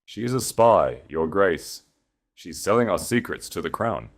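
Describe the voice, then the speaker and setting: Man playing a sneaky scheming lord, whispers poison, low and slow. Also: very animated, full character voice. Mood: insinuating. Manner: whispering, insinuating.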